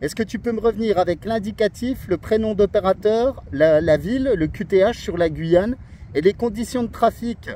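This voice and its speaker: A man with a fairly high voice makes a casual radio contact from outdoors. He is friendly and uses operator jargon, with faint noise around him. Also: normal speed, dark tone. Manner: friendly, spells words in radio alphabet. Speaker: portable CB radio operator and YouTuber. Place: outdoors among pine trees on an island.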